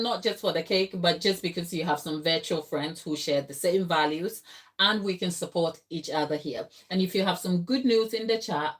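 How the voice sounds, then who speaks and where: A woman from Ghana hosting a live cake tutorial from her kitchen, explaining steps and answering viewers in the chat. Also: lowish voice. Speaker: baking channel host. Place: home kitchen.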